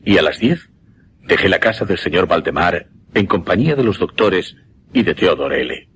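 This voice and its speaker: An actor playing an intense mesmerist who recounts a macabre experiment in a suspense radio drama, with a Castilian accent and deliberate, emphatic delivery. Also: male voice, sits low, sing-song, a bit bright, a little hiss or hum, exaggerated voice. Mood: solemn, deliberate. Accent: Castilian Spanish.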